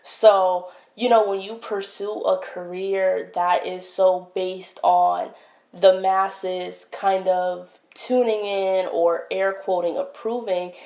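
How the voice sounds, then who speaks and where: A young woman hosting her own podcast, talking in a casual, reflective way with many fillers and pauses, recorded at home on a close mic. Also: medium pitch, level tone, creaky, darkish tone. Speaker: podcast host. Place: indoors, home setup. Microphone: wired podcast mic, close. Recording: echoey room.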